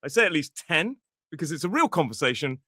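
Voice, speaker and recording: A man's voice: on the high side, sing-song, fast talker, sharp tone, dry recording. British english teacher guy chatting on a podcast, explains stuff, voice jumps around a lot, dry close sound. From Britain. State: toothache. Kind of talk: conversational podcast chat.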